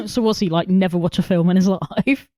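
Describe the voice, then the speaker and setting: A woman's voice: on the low side, warm tone, no room sound. Dry-sounding woman in casual chat. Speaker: film podcast co-host.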